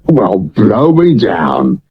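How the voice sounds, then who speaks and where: An actor playing a drunken, disgraced butler in a 1970s radio drama, speaking in a florid, theatrical, mock-courteous way. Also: male voice, on the low side, huge pitch swings, drawn-out words, breathy edge, full character voice. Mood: tipsy, mock-courteous. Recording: muffled old medium-wave radio recording.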